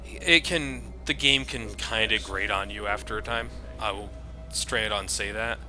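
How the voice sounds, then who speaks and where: Man, low voice, casual gameplay commentator, informal, clear music behind. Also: measured pace. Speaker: video game commentator. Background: game music and sound effects.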